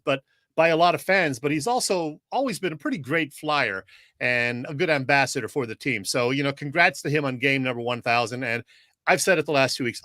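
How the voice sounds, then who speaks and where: A man's voice: medium pitch, sharp tone, no room sound. A man co-hosting a casual sports podcast with a teasing, conversational manner.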